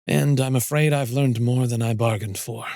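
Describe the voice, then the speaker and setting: A man's voice: mid-range voice, moderate pace, gravelly, dry recording, studio quality, lightly acted. Man playing a resolute, earnest older merchant. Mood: composed. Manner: steady, earnest, defiant. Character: resolute older male merchant, principled and steady. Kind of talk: fantasy audio story character acting.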